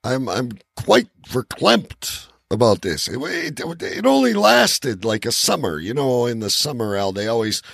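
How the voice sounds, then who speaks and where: A man co-hosting a podcast in casual chat, rambling and halting with theatrical emphasis, in a gravelly, husky voice. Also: medium pitch, very animated, fairly bright tone, dry recording, studio quality. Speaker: podcast co-host.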